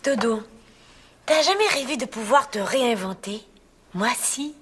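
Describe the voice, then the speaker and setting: A woman's voice: on the high side, lively intonation, measured pace, breathy edge. An actress playing a brash, self-satisfied woman decorator who puts on a chic Parisian French accent and slangy, theatrical airs. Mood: smug, defiant. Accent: put-on Parisian French.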